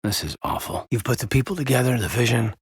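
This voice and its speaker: A man playing an earnest, loyal military officer in a space-war video game, with a husky, raspy voice and a sincere, thoughtful manner. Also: mid-range voice, expressive, fast talker, no room sound, studio quality. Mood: somber, earnest. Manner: sincere, thoughtful, often sighing. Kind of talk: video game character dialogue.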